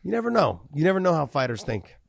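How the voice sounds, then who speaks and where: A man co-hosting a casual MMA podcast, talking in an animated way and repeating words as he builds his points. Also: a little high, fast talker, gravelly, breathy edge, no room sound. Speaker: podcast co-host.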